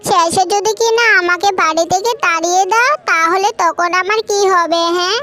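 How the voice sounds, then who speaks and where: A woman's voice: extremely high pitch, normal speed. A woman voicing an older, bossy, suspicious stepmother-in-law bird in a cartoon story, with a scolding, nagging manner. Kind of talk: Bengali cartoon story acting.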